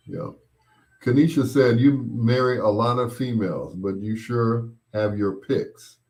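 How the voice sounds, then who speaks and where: A middle-aged man hosting a casual show, chatting and reminiscing in a rambling way, often pausing and repeating himself as he replies to listeners. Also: mid-range voice, a little mellow. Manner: unhurried, rambling, with pauses and repeats. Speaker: host of a bedtime stories show. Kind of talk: casual chat, reminiscing and replying to listener comments.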